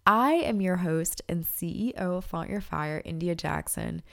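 A woman's voice: lowish voice, a little slow, gravelly. Congested woman podcast host. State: congested from allergies. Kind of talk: casual podcast talk and read ads.

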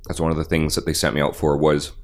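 A young man with a deep bass-baritone voice, telling stories animatedly as a guest in a casual podcast interview. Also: quick pace. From Canada. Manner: animated storyteller, acts out quotes. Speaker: voice actor guest.

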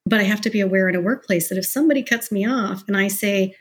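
Female interview guest, professional, conversational and candid. Medium register with some huskiness, animated and discursive delivery. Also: mid-range voice, quick pace, slightly rough.

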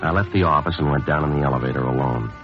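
Very deep, deadpan police sergeant. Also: male voice, very fast. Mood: somber. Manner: deadpan, understated, terse. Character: stoic male police sergeant, grieving his longtime partner. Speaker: actor and narrator in a radio police drama. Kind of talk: 1950s radio acting, clipped scripted narration. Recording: muffled old radio broadcast, music behind.

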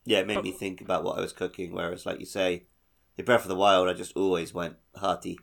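A man's voice: sits low, flat delivery, fast talker, gravelly, a bit bright. A man co-hosting a podcast, talking casually and conversationally about games. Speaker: podcast host. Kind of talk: casual game discussion and chat. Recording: slightly dull, lo-fi audio.

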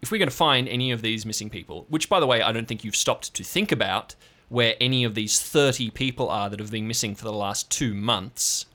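A man's voice: fairly low pitch, a little fast, hardly any pauses, sharp tone. A man voicing an abrupt, authoritative female park ranger chief in an improvised audio drama. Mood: stern, exasperated.

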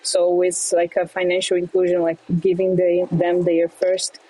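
Soft-spoken woman from Brazil, low and mellow. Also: completely flat delivery, rough recording. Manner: halting, many fillers and restarts, soft laughs. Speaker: guest, fintech entrepreneur. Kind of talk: interview answers.

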